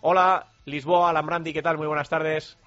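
A man's voice: a little high, level tone, normal speed, fairly bright tone, muffled recording. A Spanish man hosting a radio sports show, jokey and teasing with his guest.

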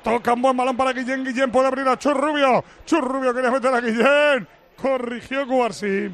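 A man giving live radio play-by-play of a football match, lively and rising to shouts on attacking plays. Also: very high voice, a little fast. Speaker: play-by-play commentator.

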